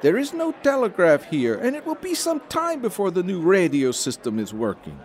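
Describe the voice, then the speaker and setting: An exasperated, officious magistrate from an audio drama. His voice is high for a man and swings dramatically up and down. He speaks with theatrical fussiness, like a bureaucrat guarding his position. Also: very animated, moderate pace. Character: fussy, exasperated colonial magistrate, anxious for his career. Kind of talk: full-cast audio drama acting.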